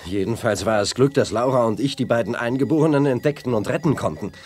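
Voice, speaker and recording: An actor playing an earnest, brave young space hero with dramatic delivery in a children's radio play. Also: male voice, medium pitch, quick pace, a little mellow, soft background music, lightly acted.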